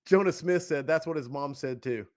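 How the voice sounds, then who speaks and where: A man's voice: fairly high pitch, normal speed. Upbeat man hosting a podcast. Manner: enthusiastic, laughing, playful. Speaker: podcast host. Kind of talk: casual interview chat.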